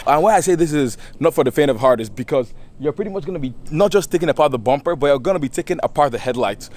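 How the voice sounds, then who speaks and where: A man's voice: fairly high pitch, expressive, quick pace, darkish tone. Goofy, chatty young man vlogging cars. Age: young adult. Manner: chatty and goofy, laughs often, rambling restarts. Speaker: car vlogger. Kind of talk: casual how-to vlog. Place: outdoors beside his car.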